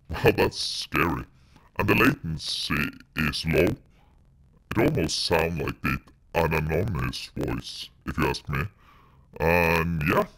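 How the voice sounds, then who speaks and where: A man casually demoing a real-time voice changer that turns his voice dark and robotic. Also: creaky, husky. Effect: real-time pitch-lowering robotic voice changer.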